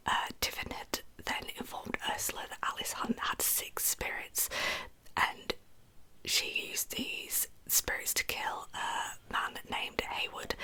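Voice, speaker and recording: A woman narrating in a breathy, unvoiced whisper as an ASMR storyteller, with chatty, informal asides. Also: pushed and bright, poor audio. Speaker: host of a true crime ASMR channel.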